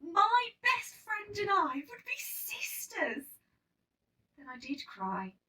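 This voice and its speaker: A woman reading a children's story aloud in an expressive, emphatic storytelling manner. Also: very high-pitched, slow pace, distant mic. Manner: animated, emphatic storytelling with acted-out dialogue. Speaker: storybook reader.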